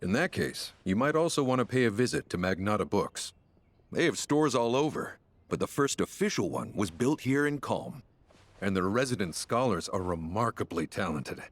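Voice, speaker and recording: Low-voiced, courteous innkeeper. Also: male voice, animated, moderate pace, dry recording. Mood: polite, helpful. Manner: polite, formal, deferential. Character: courteous, formal male innkeeper, measured and helpful. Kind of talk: scripted video game dialogue.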